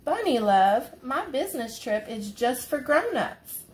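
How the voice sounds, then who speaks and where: A woman's voice: high-pitched, very animated, a little slow, a little mellow, pitched above the performer's own voice. A woman voicing a loving mother bunny who reassures her little one in a storybook. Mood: reassuring.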